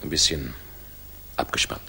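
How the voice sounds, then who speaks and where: An actor playing a weary, devoted German fighter pilot in a 1960s radio drama, with a husky, breathy voice. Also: male voice, normal speed, sharp tone, faint music behind. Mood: tired, tender.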